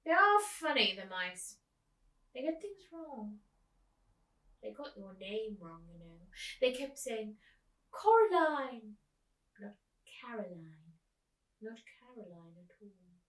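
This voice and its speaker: An eccentric old man from a storybook put on by a woman, secretive and deliberate, with oddly formal phrasing. The room echoes around the voice. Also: a little high, sing-song, a bit bright, far from the mic, heavily acted. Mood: dismissive, explaining. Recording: echoey room, away from the mic.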